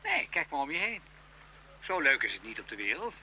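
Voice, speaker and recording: A man's voice: a little high, sing-song, fairly quick, bright, forceful tone, a little hiss or hum, exaggerated voice, poor audio. An actor playing a cynical, argumentative man in a radio drama, emphatic and opinionated.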